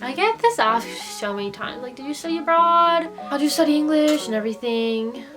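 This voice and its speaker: A woman's voice: high-pitched, animated, fairly quick, rough recording. A young woman with an American accent chats casually and informally, thinking aloud as she answers viewers' questions in a vlog. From United States and Japan. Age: young adult. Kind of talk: casual Q&A chat to camera. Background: background music.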